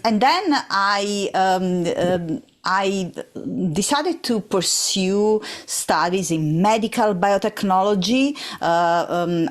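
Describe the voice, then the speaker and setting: Slow-spoken Italian woman. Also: fairly low pitch. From Italy. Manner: measured and thoughtful, with frequent hesitations. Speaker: podcast guest. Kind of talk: interview answers.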